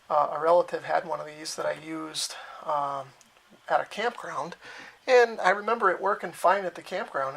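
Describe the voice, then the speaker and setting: A man's voice: on the high side, vocal fry, a bit bright. A man giving a casual, unscripted hands-on gadget demonstration, thinking aloud as he goes in his cellar at home. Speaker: radio hobbyist demonstrating equipment. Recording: noisy home recording with clicks.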